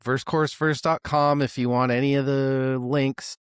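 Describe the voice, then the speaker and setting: A man's voice: mid-range voice, little pitch movement, normal speed, clean tone, no room sound, clean studio sound. A man co-hosting a music podcast, chatting casually with sarcastic jokes and swearing. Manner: joking, profane, sarcastic. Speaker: podcast co-host.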